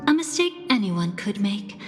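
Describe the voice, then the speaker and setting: A woman's voice: mid-range voice, big pitch swings, normal speed, close to an everyday voice. An enigmatic young woman from a video game, acted by a woman, speaks in a mid-range voice washed in hall reverb over music. She is knowing and composed, teasing in a coolly theatrical way, as if savoring every thrill. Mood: calm, musing.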